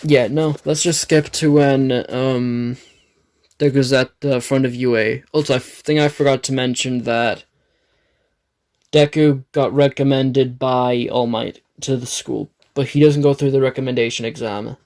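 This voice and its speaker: A man with a raspy, dry throat, narrating an improvised fan story, rambling and correcting himself. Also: medium pitch, fairly even intonation, unhurried, a little mellow. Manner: rambling, self-correcting, swears.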